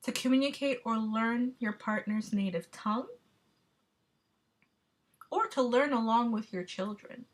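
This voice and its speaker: A woman giving a measured, explanatory class presentation, with the odd filler. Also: a little high. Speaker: student presenter.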